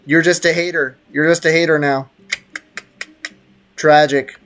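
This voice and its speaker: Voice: mid-range voice, fairly even intonation, measured pace, fairly bright tone. A game streamer chatting casually on a livestream in a teasing, slangy, mock-stern way. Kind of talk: casual livestream chat over gameplay. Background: faint game music.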